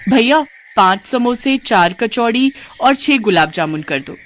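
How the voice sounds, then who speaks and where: A woman's voice: a little high, animated, unhurried, a little hiss or hum. A woman voicing a carefree, defiant, food-obsessed young daughter-in-law in a cartoon moral story, with a whiny, self-indulgent manner. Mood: eager.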